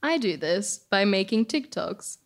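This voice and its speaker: A woman's voice: medium pitch, expressive, a little slow, noisy background. An Australian woman reading scripted personal stories and radio announcements in an emphatic, conversational way. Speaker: storyteller and radio show host.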